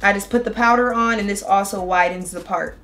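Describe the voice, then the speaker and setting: A young woman vlogging a hair tutorial in a chatty, excited way, walking through each step, her voice a little raspy from a cold. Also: medium pitch, brisk, faint music behind. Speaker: beauty vlogger. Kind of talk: casual hair tutorial and review.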